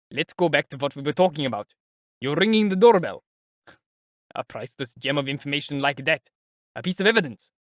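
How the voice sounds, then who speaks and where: A man's voice: mid-range voice, a bit bright, no room sound, clean studio sound, natural voice. A man voicing a sly, sardonic middle-aged male investigator who talks in a chatty, teasing, politely mocking way. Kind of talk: audiobook character voice.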